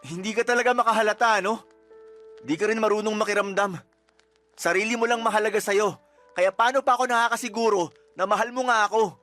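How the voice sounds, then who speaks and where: A man's voice: sits high, brisk, long pauses, pushed and bright, soft background music. A man acting as a fed-up, resentful boyfriend in a Tagalog radio romance drama, emphatic and accusing. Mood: hurt, weary. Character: fed-up, resentful boyfriend breaking up with his girlfriend.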